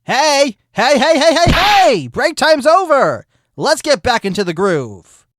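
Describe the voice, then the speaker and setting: A man's voice: very high-pitched, sing-song, slow pace, no echo, clean studio sound, voice pushed up, full character voice. A man voicing Flash, a hyper male sloth witness who talks like a peppy fitness coach. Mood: pumped. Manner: loud, peppy coach-like shouting. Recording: music bed behind voice.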